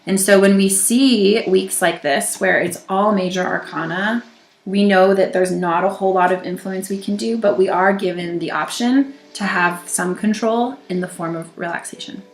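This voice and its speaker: A woman with a groggy voice giving a gentle, reassuring weekly tarot reading, pausing and restarting as she talks. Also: mid-range voice, reverberant. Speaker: tarot reader.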